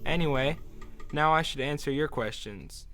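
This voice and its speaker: Man acting calm, cryptic guide of the dead. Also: on the high side, soft background music. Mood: calm, explanatory. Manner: measured, cryptic, probing. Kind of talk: audio drama acting.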